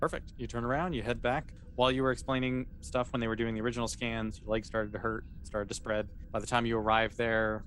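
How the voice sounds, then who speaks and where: A man's voice: medium pitch, little pitch movement, quick pace, hardly any pauses, a bit bright, slight background noise. A man acting as game master, narrating a tabletop sci-fi roleplaying game in a casual, conversational, explanatory way.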